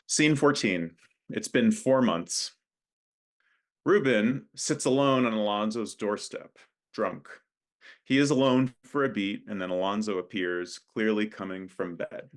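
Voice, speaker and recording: A man, a playwright, introducing and reading from his own script in a careful, halting way. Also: on the low side.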